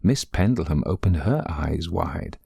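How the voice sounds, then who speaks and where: Male storyteller for gothic horror: low, creaky voice, deliberate and literary delivery. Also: lively intonation, dark tone, dry recording, studio quality. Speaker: narrator. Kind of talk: dramatic ghost story narration.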